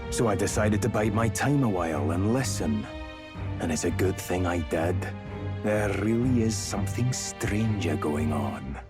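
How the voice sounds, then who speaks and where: A man's voice: low-pitched, lively intonation, measured pace. Haughty, menacing fanatic henchman over music. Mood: smug, menacing. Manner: haughty, menacing, formal speech. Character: cold, murderous male henchman, fanatically loyal to his lord. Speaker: villain in a fantasy video game. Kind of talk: scripted video game voice acting. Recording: loud music behind. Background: game soundtrack music.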